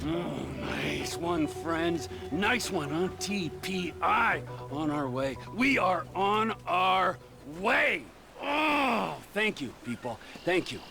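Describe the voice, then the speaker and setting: Creaky, erratic drug dealer. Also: male voice, sits high, lively intonation, sharp tone, faint music behind, exaggerated voice. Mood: mock gratitude. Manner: sarcastic, halting, emphatic repetition. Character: erratic, menacing, sarcastic male drug dealer. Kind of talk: video game cutscene acting.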